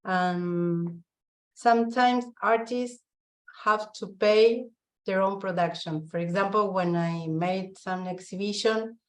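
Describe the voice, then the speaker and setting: Slow Argentine woman speaking English. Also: mid-range voice. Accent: Argentine Spanish. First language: Spanish. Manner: halting, searching for words in English. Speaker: guest speaker, visual artist. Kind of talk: online summit presentation. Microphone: video call.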